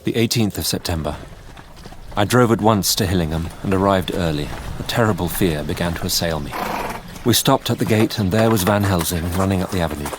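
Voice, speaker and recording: A man's voice: sits low, fairly quick, a little hiss or hum, lightly acted. An actor playing an earnest, troubled Victorian doctor narrating his diary in a sombre, formal way. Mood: grave. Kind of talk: scripted diary narration in radio drama.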